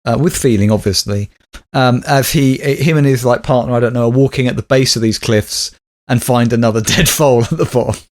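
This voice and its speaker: A man's voice: medium pitch, fairly quick, darkish tone. A man co-hosting a comic podcast, teasing his partner and pushing listeners for reviews in a wry manner. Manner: wry, teasing, assertive sign-off. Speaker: podcast co-host. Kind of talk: comedic podcast chat.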